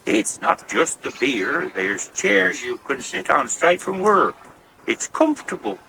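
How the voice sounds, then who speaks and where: An actor playing a slow, grumbling, naive old farmhand with a gravelly voice and a rural West Country accent in a radio soap. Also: male voice, fairly high pitch, very animated, pushed and bright, heavily acted, rough recording. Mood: stubborn, ruminating. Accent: English West Country rural. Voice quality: creaky, gravelly. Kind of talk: 1980s radio soap acting. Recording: hissy 1980s radio broadcast recording.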